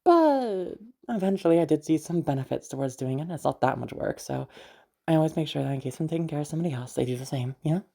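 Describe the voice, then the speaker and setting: A woman playing a protective, easygoing big sister in an intimate audio roleplay, speaking in a halting, reassuring way with sighs and casual swearing. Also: sits low, expressive, vocal fry, warm tone, exaggerated voice. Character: protective, mysterious, easygoing big sister escorting a new acquaintance.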